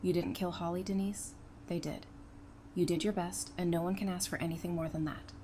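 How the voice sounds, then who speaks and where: A woman's voice: fairly low pitch, steady tone, fairly quick, warm tone, close to an everyday voice. A woman playing an earnest, blunt but consoling survivor of a zombie apocalypse in an audio drama. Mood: gentle, reassuring. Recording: clear background noise.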